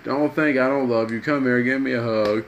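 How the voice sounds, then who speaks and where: With clear noise behind, a man plays a fatherly holy figure in a spoken parable. He is gentle and forgiving, reassuring and accepting as he speaks. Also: mid-range voice, steady tone, normal speed, dull audio, natural voice.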